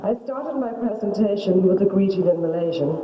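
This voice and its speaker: A woman from Ireland with an Irish accent, giving a measured, gracious closing speech to a conference. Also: medium pitch, expressive, roomy sound, muffled recording, noisy background. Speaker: conference speaker.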